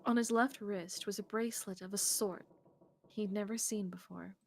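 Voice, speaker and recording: Woman narrating aloud, medium pitch. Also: steady tone, moderate pace, gravelly, a little mellow. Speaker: streamer narrating a visual novel. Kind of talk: read-aloud story narration with casual asides. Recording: faint background noise.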